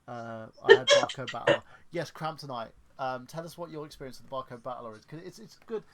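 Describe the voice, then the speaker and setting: Gravelly man rambling on stream. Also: medium pitch, sing-song. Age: 30s. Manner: rambling, halting, many fillers and restarts. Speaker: stream host. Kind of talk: casual livestream chat.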